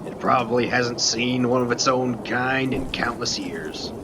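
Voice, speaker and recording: A man's voice: medium pitch, a little slow, close to an everyday voice. A man playing a seasoned, wry lighthouse keeper in an amateur radio play, speaking in a dry, matter-of-fact way. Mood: wistful, fascinated. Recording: noisy rain and storm ambience.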